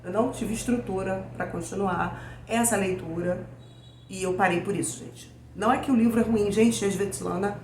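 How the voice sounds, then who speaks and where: A Brazilian woman hosting a book vlog. She chats casually with her viewers in a weak, hoarse voice from a cold. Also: medium pitch, normal speed, a little mellow. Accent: Brazilian Portuguese. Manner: chatty, addresses viewers as "gente". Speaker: book channel host. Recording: echoey room with faint noise.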